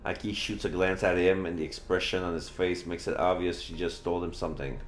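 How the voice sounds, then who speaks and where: A man's voice: sits low, fairly even intonation, fairly quick, a little hiss or hum. A man reading a visual novel aloud on a livestream, casually adding his own reactions as he goes. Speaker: live streamer. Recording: echoey room.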